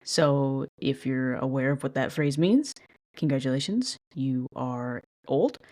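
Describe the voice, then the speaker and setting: A woman hosting her own casual podcast, talking alone into the mic about a show she loves. Her delivery stays flat and monotone, though she is chatty and self-deprecating, with the odd swear word. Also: extremely low pitch, a little slow. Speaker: podcast host. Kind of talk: casual solo commentary.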